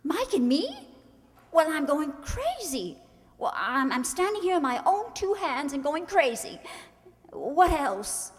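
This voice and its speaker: A woman playing a flighty, high-strung society bride in a screwball radio comedy, theatrical and full of breathless exclamation. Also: very animated, takes it slowly, exaggerated voice. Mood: flustered, exasperated. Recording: echoey hall stage recording.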